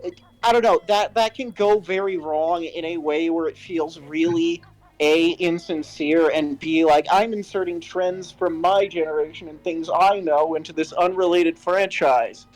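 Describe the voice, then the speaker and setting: A co-host of a fan fiction reading show chatting casually, rambling and halting through jokes and critique. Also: medium pitch, poor audio. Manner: rambling, halting, animated joking. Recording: music playing underneath. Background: music.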